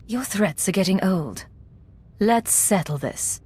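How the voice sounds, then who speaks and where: A woman's voice: medium pitch, animated, slow pace, darkish tone, slightly rough, heavily acted. A woman playing a cool, no-nonsense secret agent in a video game, speaking in a curt, controlled and direct manner.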